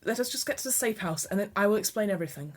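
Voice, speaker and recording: A woman's voice: medium pitch, expressive, very fast, close to an everyday voice. A woman voicing an urgent, resourceful young woman on the run, with a slight Irish accent, talking hurriedly and pleading.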